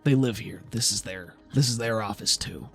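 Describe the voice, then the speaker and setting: A man's voice: fairly low pitch, normal speed, vocal fry, breathy edge, faint music behind. A man working as game master, narrating an improvised tabletop roleplaying game in a hesitant, conversational way.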